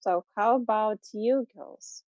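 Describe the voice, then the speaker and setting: A woman hosting a video call as a teacher, giving quick, encouraging replies. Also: medium pitch, a little mellow, no room sound. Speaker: host teacher. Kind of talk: casual video-call chat. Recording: muffled video-call audio.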